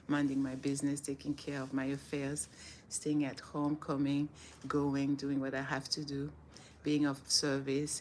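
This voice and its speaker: A woman's voice: very deep voice, slight background noise. A middle-aged woman from Senegal with a French accent, hosting a livestream, reading reflections and chatting earnestly with viewers. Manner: earnest, repeats phrases for emphasis, laughs softly. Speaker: livestream host. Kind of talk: casual livestream talk, reading daily reflections.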